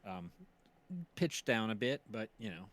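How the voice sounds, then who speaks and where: Guy on a casual stream, lower voice, kinda hesitant explaining stuff. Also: lively intonation, unhurried, a bit bright. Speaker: stream co-host and performer. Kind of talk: casual live stream chat. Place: live voice chat stream.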